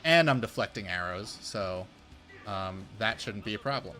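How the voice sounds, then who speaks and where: Young male streamer, hoarse from losing his voice, rambling play-by-play with cursing, faint game music underneath. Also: on the low side, animated, moderate pace, pushed and bright. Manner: rambling, swears when frustrated. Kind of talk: casual gameplay commentary.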